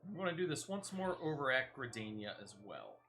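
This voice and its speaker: A man's voice: medium pitch, big pitch swings, fairly quick, sharp tone, a little hiss or hum. A man giving casual, chatty gameplay commentary, thinking aloud with a playful, mildly self-deprecating touch. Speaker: gaming streamer.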